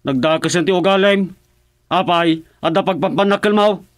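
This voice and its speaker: A man's voice: high-pitched, moderate pace, dry recording, natural voice. A man playing a pleading, wheedling husband in a radio drama comedy, with an Ilocano accent. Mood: whining. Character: pleading husband promising his wife he will reform.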